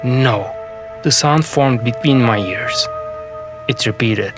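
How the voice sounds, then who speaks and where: A man narrating a scripted fantasy story in the first person, with measured, deliberate phrasing in short segments. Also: medium pitch, music in the background.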